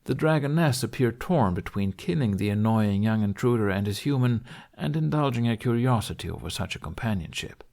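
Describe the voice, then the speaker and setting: Low, creaky-voiced male storyteller. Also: lively intonation, a little fast, few pauses, a little mellow. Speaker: audiobook narrator. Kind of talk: scripted fantasy story narration.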